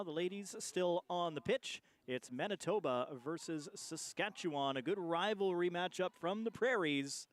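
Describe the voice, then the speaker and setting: A man commentates live on a rugby match as the play-by-play announcer. His voice sits a little higher than most men's as he follows the action. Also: a bit bright.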